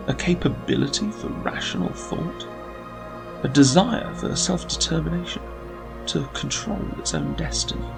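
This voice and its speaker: A man delivering scripted dramatic narration as an announcer, measured and solemn. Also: fairly high pitch, vocal fry. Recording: music behind the voice. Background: atmospheric new-age music.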